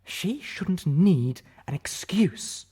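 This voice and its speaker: A man's voice: high-pitched, expressive, extremely slow, warm tone, slightly rough, whispered, pitched above the performer's own voice, heavily acted. A man voicing a stern, righteous female unicorn with a pointed, scornful delivery in a storybook reading. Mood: cold.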